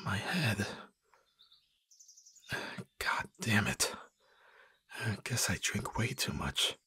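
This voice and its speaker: A man acting an awkward, flustered young best friend in an ASMR roleplay, with a breathy, husky voice and hesitant, stammering delivery. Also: on the low side, sing-song, drawn-out words, sharp tone, exaggerated voice. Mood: groggy, muttering. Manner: hesitant, stammering, often dropping to whispers.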